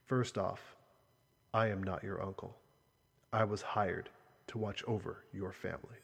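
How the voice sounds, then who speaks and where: A man playing a grave, protective uncle in an audiobook, with a husky voice and an earnest, solemn manner. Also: sits low, steady tone, unhurried, exaggerated voice. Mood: sorrowful, tender. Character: grave, protective adult uncle, tender guardian revealing secrets. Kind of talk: audiobook character dialogue. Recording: voice in a long hollow reverb.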